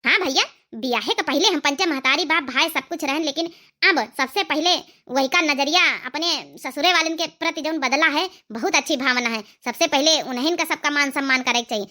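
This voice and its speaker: Woman as high-voiced, moralizing Awadhi village mother. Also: brisk, bright, forceful tone. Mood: approving. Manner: moralizing, repetitive. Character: proud, approving middle-aged village mother. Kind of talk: cartoon family drama dialogue.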